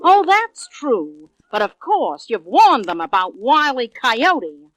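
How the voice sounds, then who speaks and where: A doting mother mountain lion from a children's storybook record speaks in a very high, swooping voice. She is genteel and fussy, stretching her words as she chatters, with faint music behind. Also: sing-song, takes it slowly, a bit bright, dry recording, exaggerated voice, low-quality recording. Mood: fussy, concerned. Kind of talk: children's read-along record acting.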